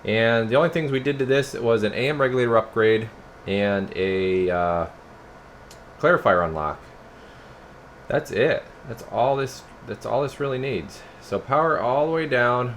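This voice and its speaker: Low-voiced man, casual demo, noisy background. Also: normal speed, a bit bright. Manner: informal, rambling, addresses viewers as guys. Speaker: radio repairer and product reviewer. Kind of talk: casual hands-on product demo.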